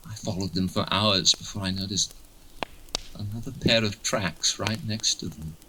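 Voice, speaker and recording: An actor playing a shaken young man lost in the wilderness, telling things haltingly in an audio horror drama. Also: lowish voice, noisy background, close to an everyday voice. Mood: subdued, fearful. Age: young adult. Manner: halting, stammering, haunted.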